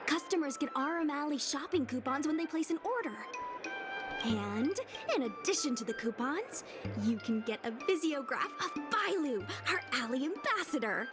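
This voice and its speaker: A woman voicing a brisk, enterprising young female merchant in a video game, with music behind her. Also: sits high, expressive. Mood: eager, persuasive. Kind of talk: video game character dialogue.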